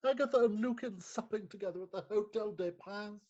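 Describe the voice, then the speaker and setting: A distraught English gentleman magistrate, acted out in a stage farce, sorry for himself and melodramatic in his laments. The recording is somewhat dull and lo-fi. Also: mid-range voice, a little fast, close to an everyday voice. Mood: anguished. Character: distraught, self-pitying married gentleman magistrate. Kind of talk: theatrical farce reading. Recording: slightly dull, lo-fi remote recording.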